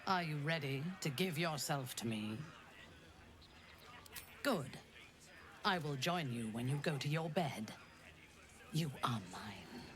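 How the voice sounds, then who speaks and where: An actress playing a domineering, seductive female warrior in a game, giving measured, imperious commands. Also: low-pitched, animated, noisy background. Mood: possessive. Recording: music behind the voice.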